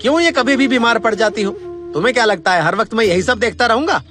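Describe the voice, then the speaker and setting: A callous grown son from a cartoon moral story, acted by a man. The male voice is pitched high and comes out irritable and dismissive. Music plays clearly behind it. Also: quick pace. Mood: irritated. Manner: dismissive, scolding.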